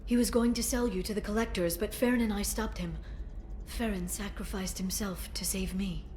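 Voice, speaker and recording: A woman voicing a composed alien information broker in a video game cutscene, speaking softly and in a measured way. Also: mid-range voice, steady tone, a little hiss or hum, natural voice. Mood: subdued. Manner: soft-spoken, measured, quiet intensity.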